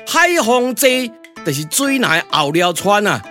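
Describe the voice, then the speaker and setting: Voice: medium pitch, sing-song, unhurried, sharp tone, soft background music. A performer with a Taiwanese accent voicing an emphatic, know-it-all pioneer soldier in a glove-puppet radio drama. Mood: explanatory, emphatic.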